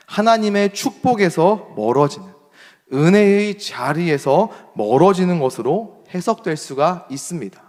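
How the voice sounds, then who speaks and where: A young adult man preaching a sermon as pastor, emphatic, rising to strong points and dropping to soft asides. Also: fairly high pitch. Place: church sanctuary. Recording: long hall reverb.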